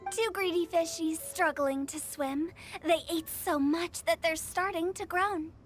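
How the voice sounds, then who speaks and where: Very high-pitched, theatrical girl. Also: unhurried. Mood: sing-song recital. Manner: theatrical, mischievous announcer. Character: playful theatrical teenage girl poet and festival hostess. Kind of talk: anime video game voice acting. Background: game music.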